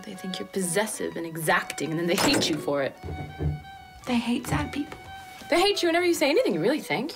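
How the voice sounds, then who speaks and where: A woman's voice: medium pitch, vocal fry. Woman playing an anxious young woman pleading. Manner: pleading, swings between whispers and outbursts. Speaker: actress performing a monologue. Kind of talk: dramatic monologue.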